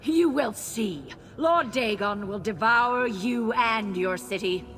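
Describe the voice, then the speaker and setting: High, swooping menacing zealot. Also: female voice, lively intonation, slow. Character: menacing female fantasy zealot of a demon lord. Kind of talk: fantasy game voice acting.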